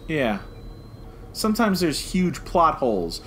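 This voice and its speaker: A man's voice: on the high side, big pitch swings, takes it slowly, fairly bright tone. A man co-hosting a podcast, chatting casually and animatedly as a fan giving a review, acting out reactions as he goes. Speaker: podcast co-host. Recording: clear background noise.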